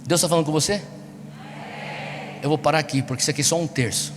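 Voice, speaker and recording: Brazilian male preacher, high-pitched, in echoey hall. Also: fairly even intonation, fast talker, noisy background. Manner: exhortative, rhetorical questions and repetition. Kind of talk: sermon to a young congregation. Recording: echoey large hall, music behind. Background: music.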